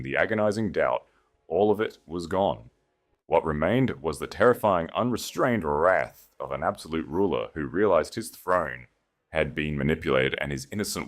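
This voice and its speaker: A man delivering dramatic, scripted narration of a story as its narrator. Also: a bass voice.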